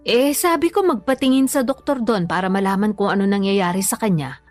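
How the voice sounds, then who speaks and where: An actress playing a worried, patient mother in a Filipino radio drama, pleading gently with a Filipino accent. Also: mid-range voice, a little fast, soft background music, natural voice. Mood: troubled. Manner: pleading, concerned. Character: worried, patient, long-suffering mother and wife.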